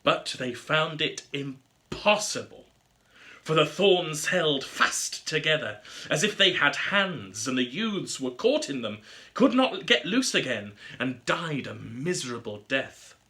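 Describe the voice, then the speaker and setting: Casting: male storybook narrator, higher register, very bright and forward tone, theatrical and expressive. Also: takes it slowly. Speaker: storybook reader. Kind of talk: scripted fairy tale narration.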